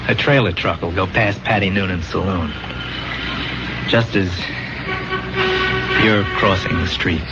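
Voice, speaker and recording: An actor in an old-time radio drama playing a dead young soldier come back to his war buddy, telling his story haltingly and emphatically, weary and haunted. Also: low-pitched. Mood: resigned, earnest. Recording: muffled, very noisy old radio recording.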